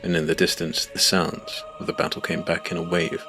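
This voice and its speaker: Deep-voiced man narrating dramatic fiction. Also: monotone, a little fast, vocal fry, loud music behind the voice. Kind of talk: scripted first-person dramatic narration.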